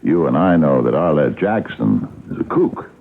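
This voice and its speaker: An actor playing a shrewd, patient police captain in a radio drama, gravelly and hesitant as he probes. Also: rumbling low, big pitch swings, exaggerated voice. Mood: quietly accusing. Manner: hesitant, deliberate, understated interrogator. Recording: noisy, narrow-band vintage radio drama recording.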